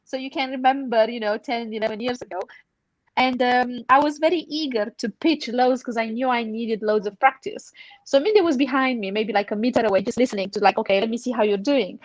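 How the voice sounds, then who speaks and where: Non-native English-speaking woman, higher voice, dry close sound, casual podcast storyteller. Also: a little fast, dry recording, rough recording. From outside the UK. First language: not English. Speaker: podcast panel member, charity fundraiser. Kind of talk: casual anecdote on a panel podcast.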